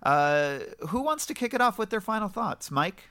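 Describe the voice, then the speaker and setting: A man's voice: high-pitched, big pitch swings, moderate pace, fairly bright tone, smooth. A man hosting a casual film discussion podcast with an enthusiastic manner. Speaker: podcast host.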